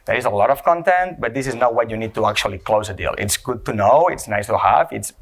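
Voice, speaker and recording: A man's voice: on the low side, lively intonation, quick pace. A man from Spain with a Spanish accent, giving a conference talk and often tagging his points with "okay?" and "you know". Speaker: conference speaker, company CEO. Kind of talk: conference presentation. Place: conference stage before an audience.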